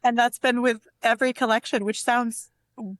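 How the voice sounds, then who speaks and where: A woman's voice: a little high, fairly even intonation, normal speed, a bit bright, no echo. A woman speaking casually as a poet guest in an interview, reflective and tentative, with a self-amused way of talking.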